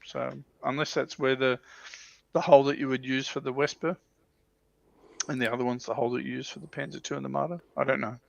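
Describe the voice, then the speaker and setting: A man chatting casually on a hobby livestream, haltingly repeating phrases as he thinks aloud, with muffled audio. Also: medium pitch, level tone, creaky. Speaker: livestream panellist on a tabletop wargaming show.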